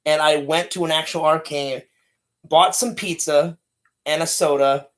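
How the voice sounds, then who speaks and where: A man in his late thirties, co-hosting a casual chat with a loud, energetic, rambling way of talking. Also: a little high, a little slow. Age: 30s. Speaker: podcast co-host.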